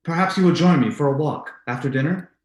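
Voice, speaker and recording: An actor playing an earnest, secretly lovelorn husband in a formal, theatrical old-time radio drama style. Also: male voice, a little high, fast, close to an everyday voice. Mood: politely formal. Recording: roomy, echoing sound.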